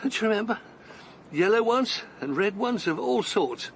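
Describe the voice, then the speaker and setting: A man's voice: sits high, sing-song, normal speed, a bit bright, slight background noise, poor audio. An actor playing an older man out on a long walk, breathless and wondering, chuckling to himself in a radio drama. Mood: fond.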